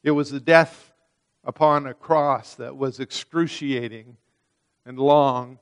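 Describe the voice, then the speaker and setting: A man's voice: mid-range voice, steady tone, slow pace, clean tone. A man preaching a sermon, reading scripture and then explaining it in an earnest manner, often repeating words as he restarts a phrase.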